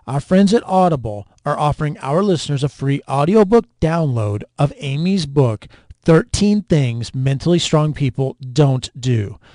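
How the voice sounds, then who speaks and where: A man's voice: fairly high pitch, animated, a little slow, mellow, dry recording. A man hosting an interview podcast in a conversational style.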